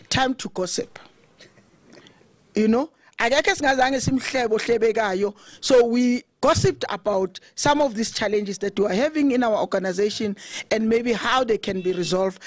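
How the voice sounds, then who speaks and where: Loud South African woman giving a eulogy. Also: a little high, dry recording. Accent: South African, mixing Zulu. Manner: loud, conversational, code-switching. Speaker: eulogy speaker, committee chair. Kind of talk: funeral tribute speech. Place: open thatched shelter outdoors. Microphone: podium mic.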